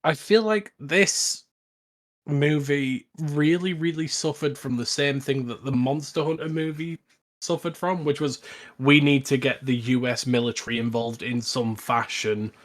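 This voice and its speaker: A man's voice: mid-range voice, a little slow. Man in casual film chat. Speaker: podcast panellist.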